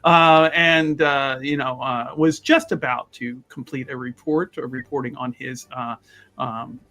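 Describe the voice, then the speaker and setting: A man playing an indignant, self-important scholar who makes fussy, halting, officious complaints in a tabletop game. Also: medium pitch, fairly even intonation, sharp tone. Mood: grand, aggrieved.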